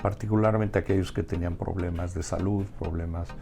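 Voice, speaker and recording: Man, Mexican accent, low pitch, heavy vocal fry, halting conversational delivery, repeated words. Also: fairly even intonation, warm tone, slight background noise. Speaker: interview guest, restaurateur and community organization member. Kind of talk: conversational interview answers.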